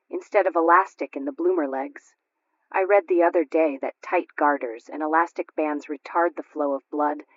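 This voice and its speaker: A woman voicing a proud, practical housewife in a radio sketch, precise and instructive. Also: low-pitched. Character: proud, practical, painstaking housewife at sewing club. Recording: dull lo-fi radio recording.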